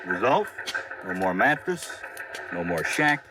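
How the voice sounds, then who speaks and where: Blunt radio cop, noisy recording. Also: male voice, low voice, very animated, takes it slowly, full character voice. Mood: matter-of-fact. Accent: American. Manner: blunt, folksy. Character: blunt, matter-of-fact male arson squad policeman. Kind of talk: 1950s radio drama acting.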